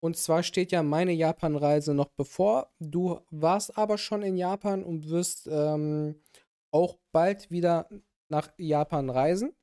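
A man's voice: on the high side, slow pace, warm tone. A man hosting a podcast in casual chat, joking and laughing often, with many fillers and restarts. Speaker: podcast host.

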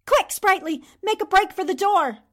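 An actress plays a plucky lady reporter in a vintage radio thriller. Her voice is high, and she delivers every line with emphatic, indignant drama. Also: animated, moderate pace, fairly bright tone. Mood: alarmed. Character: bold, indignant newspaperwoman confronting a villain. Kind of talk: 1940s radio drama acting.

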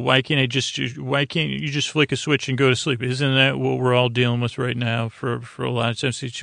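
A middle-aged man with a creaky, gravelly voice at an average pitch, recorded close and dry. He hosts a bedtime podcast, wandering through half-finished thoughts and restarts as he reassures the listener. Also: fairly bright tone, no echo. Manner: meandering, halting, self-interrupting with trailing thoughts; reassuring, low-pressure. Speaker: podcast host. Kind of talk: rambling bedtime sleep-podcast monologue.

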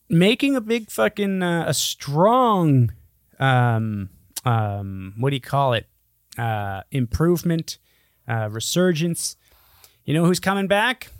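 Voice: mid-range voice, animated, a little slow, pauses often, dry recording, studio quality. A podcast co-host chatting casually and comedically, bantering and swearing freely.

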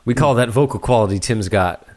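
A man's voice: mid-range voice, a little fast, a little mellow. A man co-hosting a podcast in casual, slangy, joking conversation with his friends. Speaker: podcast co-host. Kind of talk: casual chat.

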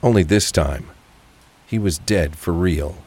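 A man's voice: low-pitched, a little slow, a little mellow, slightly rough. A man reading scripted narration for a crime thriller audio drama.

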